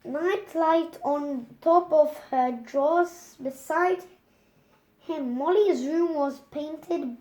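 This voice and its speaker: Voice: sits high, very slow, a little mellow, reverberant. A child reading a children's storybook aloud, carefully, with stumbles and repeated words.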